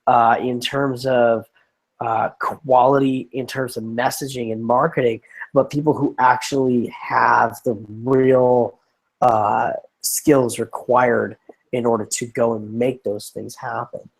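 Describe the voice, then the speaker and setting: A man's voice: medium pitch, flat delivery, takes it slowly, creaky. A man co-hosting a casual conversation who is getting over a lung infection, so his voice is scratchy and tired, and he rambles with many restarts and fillers. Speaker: podcast co-host.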